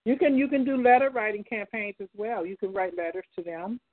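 A woman's voice: medium pitch, brisk. A woman hosting a call-in talk line, speaking directly and posing questions to her listeners. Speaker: host. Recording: tinny phone line.

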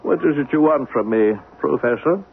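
A man's voice: a little high, expressive, moderate pace, vocal fry. A man playing a curt, formal, irritated stranger at dinner in a 1970s radio drama. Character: curt, irritated male restaurant diner, a stranger. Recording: dull lo-fi 1970s radio recording, faint music.

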